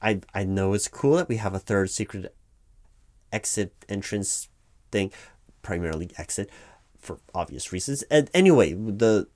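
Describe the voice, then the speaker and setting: A man's voice: fairly low pitch, lively intonation, moderate pace, a little mellow. A man playing a distracted, evasive corporate hiring manager in a one-sided comedic interview roleplay, stammering and rambling officiously. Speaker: performer playing a job interviewer.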